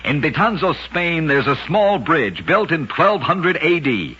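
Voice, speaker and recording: A man hosting and narrating short scripted oddity stories on an old-time radio show, telling them briskly and emphatically with punchy endings. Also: on the high side, very animated, sharp tone, husky. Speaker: radio host and storyteller. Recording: lo-fi vintage radio recording.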